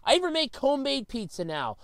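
A man's voice: very high-pitched, wildly swooping pitch, fast talker, a bit bright, dry recording, studio quality. A man, slightly stuffy with a cold, delivering an exasperated, emphatic, sweary comedic solo rant as host of his own series.

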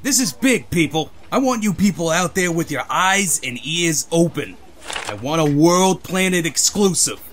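Male newspaper editor, acted by a man: brusque, commanding, highish voice, lively swings, cartoon dub. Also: a little hiss or hum. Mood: excited. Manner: barking, commanding, hurried. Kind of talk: comic-book dub.

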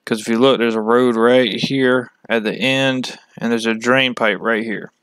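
A man talks through a casual walkthrough at a very slow pace, stopping often between short phrases. His voice is smooth and clear, recorded close and very dry. The delivery is plain and matter-of-fact, like a hobby channel host explaining something on screen. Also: medium pitch, steady tone, a bit bright, no echo, poor audio.

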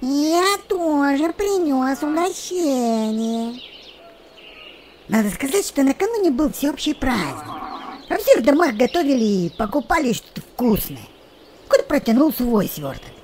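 A woman tells a children's tale with great animation. Her voice is high and swings widely in pitch. Birdsong and nature ambience sit behind her. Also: normal speed. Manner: expressive, animated. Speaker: storyteller. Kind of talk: scripted children's story narration. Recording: noticeable background noise with birdsong.

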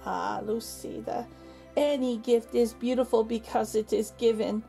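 Woman playing a slow, kindly old woman. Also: a little high, steady tone, gravelly, warm tone, soft background music. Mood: gentle. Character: kindly, reassuring old woman, gentle and wise. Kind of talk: children's storybook read-aloud.

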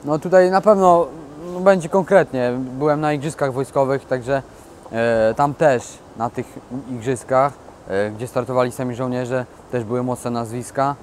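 A man's voice: medium pitch, steady tone, normal speed, darkish tone. Earnest young man, faint street noise. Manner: earnest, proud. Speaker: soldier boxer. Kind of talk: interview answers. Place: outdoors by a glass building.

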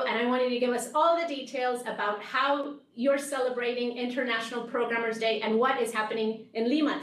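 A middle-aged woman hosts a panel chat in a fairly high voice. She introduces and prompts in an upbeat presenting style, heard from a little distance in an echoey meeting room. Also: fairly bright tone. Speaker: host, developer community manager. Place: small office meeting room, indoors, on a video call.

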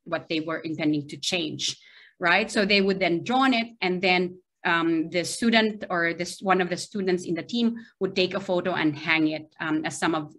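A woman's voice: lowish voice, fairly bright tone. A middle-aged woman with a Filipino accent giving an online academic lecture, hesitant and often checking in with a questioning tag. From Philippines. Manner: hesitant, checking in with frequent "right?". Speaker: university lecturer giving a seminar. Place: small video-call window, remote presentation.

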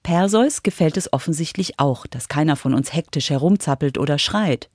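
A woman's voice: deep voice, animated, moderate pace, darkish tone, dry recording, studio quality. A woman reporter reading scripted narration for a children's radio feature.

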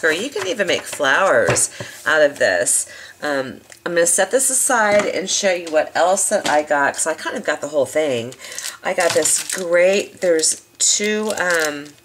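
Chatty low-voiced woman crafter, a little hoarse. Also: fairly bright tone, a little hiss or hum. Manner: chatty, rambling, enthusiastic asides. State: slightly hoarse, lost voice. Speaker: craft video host. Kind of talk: casual craft product demonstration.

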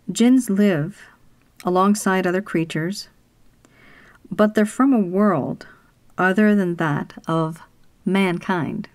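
A woman from Canada giving a slow, earnest talk about spirits as a spiritual teacher, with pauses and the odd whispered word. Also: fairly low pitch, darkish tone, no echo. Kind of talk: solo spoken talk on spirits.

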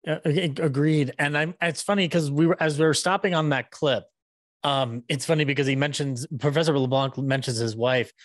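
A man in his thirties with a slightly high voice, recorded dry on a close microphone. He talks casually like a podcaster, in rambling, halting sentences. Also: fairly even intonation, no room sound. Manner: rambling, halting, says "like" often. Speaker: podcaster interviewer. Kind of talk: casual fan discussion. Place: small indoor room, video call. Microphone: boom-arm microphone with headset.

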